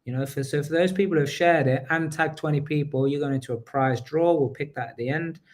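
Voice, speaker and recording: A man's voice: on the high side, brisk. A man hosting a live online talk show, talking energetically to viewers and his guest, often repeating himself. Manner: energetic, repeats himself, asks the audience to engage. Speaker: host.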